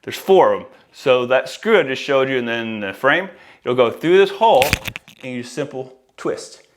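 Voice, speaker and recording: A man's voice: mid-range voice, lively intonation, moderate pace. A man in his forties giving an informal, explanatory product walkthrough as an installer, casually addressing viewers. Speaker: audio installer presenting a product. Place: small room indoors, home office. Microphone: lavalier clipped on shirt.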